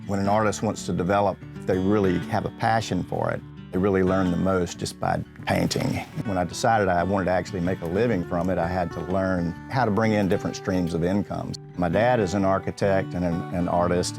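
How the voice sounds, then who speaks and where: Low, gravelly man over guitar music. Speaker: artist, illustrator and painter. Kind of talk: documentary interview monologue. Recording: music behind the voice. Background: plucked guitar music.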